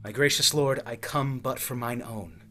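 Man acting a measured, commanding nobleman. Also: medium pitch, pushed and bright, close to an everyday voice. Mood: deferential. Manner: measured, formal. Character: commanding, controlled usurper nobleman. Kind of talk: Shakespearean staged reading. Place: indoors, remote video call.

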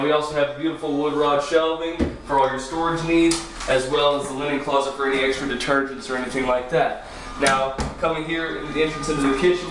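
Man young housing consultant in an echoey house. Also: on the high side. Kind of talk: sales walkthrough. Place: inside an empty show home. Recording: very echoey room, mic at a distance.

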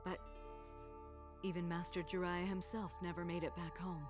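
Woman as serious, low-voiced young aide. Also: little pitch movement, moderate pace, music in the background, natural voice. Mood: grave, warning. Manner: matter-of-fact, warning. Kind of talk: English anime dub.

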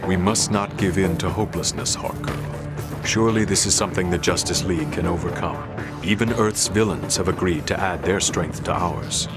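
A male actor plays a steadfast, noble alien mentor in a video game. The voice is low and grave, with formal phrasing and music behind it. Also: moderate pace, close to an everyday voice. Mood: solemn resolve. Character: solemn, noble alien telepath hero, mature and steadfast. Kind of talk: video game hero acting.

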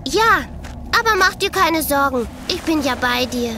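A woman's voice: sits high, expressive, unhurried, bright, forceful tone, a little hiss or hum, full character voice. A woman playing an innocent, friendly and mysterious little girl of about eleven in a German audio drama, answering simply and secretively. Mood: innocent, reassuring.

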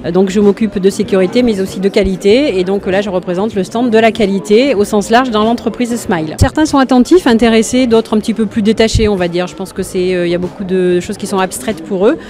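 A woman who manages quality and safety, interviewed at a trade fair, speaking in a measured way with fillers. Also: mid-range voice, steady tone, a little mellow.

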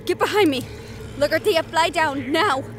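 A woman's voice: very high voice, normal speed, heavy background noise. A woman voice-acting a tough young fighter in a fantasy action audio roleplay, with dramatic, sweary banter.

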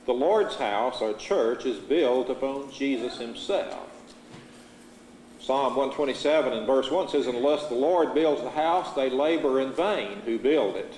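A middle-aged American man preaching a sermon from a church pulpit, reading Scripture in a measured, earnest way. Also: mid-range voice, lively intonation, darkish tone. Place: church sanctuary, at a pulpit before a curtain. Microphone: lapel mic. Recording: echoey church hall, faint room noise.

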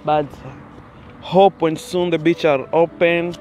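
A man's voice: fairly high pitch, flat delivery, takes it slowly, a little mellow, soft background music. Young man vlogging on a bike. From Kenya. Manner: chatty, keeps calling out to viewers as "guys"; talking while riding a bicycle. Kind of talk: casual vlog to camera. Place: outdoors on an open roadside. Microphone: phone held at arm's length.

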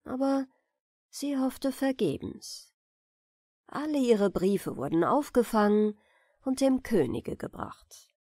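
A woman narrator giving a measured, careful, expressive reading of a fairy tale as a bedtime audiobook. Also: fairly high pitch, slightly rough, no echo, studio quality.

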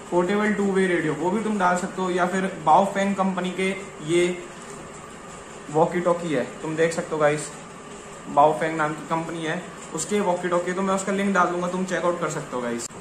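A man's voice: high voice, steady tone, moderate pace. A young man chatting casually to camera in an informal, slangy way, often repeating himself. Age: young adult. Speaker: vlogger. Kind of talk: casual chat to camera, Hindi mixed with English. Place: small living room, later outdoors on open ground. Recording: roomy echo, clear background noise.

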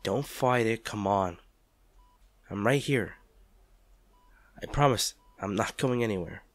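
A man's voice: on the low side, slow, no echo. A man playing a devoted, protective partner in an intimate audio drama, tender and reassuring as he comforts an injured loved one. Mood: tender, hushed. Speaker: audio roleplay performer.

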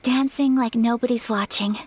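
An actress playing a refined, earnest young noblewoman in a video game, speaking softly and politely in measured phrases. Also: on the high side, fairly even intonation, a little hiss or hum, lightly acted. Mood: bashful, fond. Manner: soft, polite, measured delivery.

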